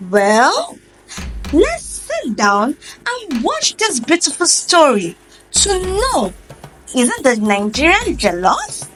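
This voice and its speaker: High-pitched, slow-paced woman storyteller. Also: huge pitch swings, background noise. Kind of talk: scripted folktale narration.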